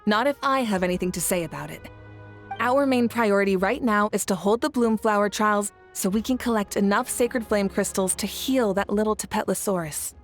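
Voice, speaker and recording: A woman's voice: medium pitch, normal speed, soft background music, lightly acted. A woman playing a confident, reassuring leader in a video game, composed and assured. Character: confident adult female leader, calm, reassuring protector of her nation.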